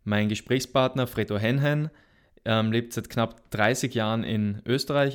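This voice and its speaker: A man's voice: mid-range voice, clear, resonant tone. A man hosting a podcast, talking conversationally as he introduces and interviews a guest.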